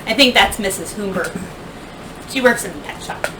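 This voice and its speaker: A woman teacher reading a children's picture book aloud expressively, with deliberate phrasing, dramatic pauses and emphasis. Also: medium pitch, expressive, sharp tone. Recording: distant mic, faint background noise.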